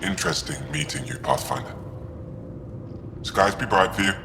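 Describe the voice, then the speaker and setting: A fanatical male alien warrior leader in a sci-fi game, grave and unafraid. The voice is very low and full of creak, with long reverb around it. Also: huge pitch swings, moderate pace, full character voice. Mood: calm, conceding. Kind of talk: video game voice acting. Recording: long reverb, clear background noise.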